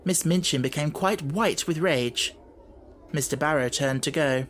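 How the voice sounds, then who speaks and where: A man's voice: a little high, a little slow, fairly bright tone, some chatter behind. Male narrator for classic fiction audiobook, scripted literary reading, slight background noise.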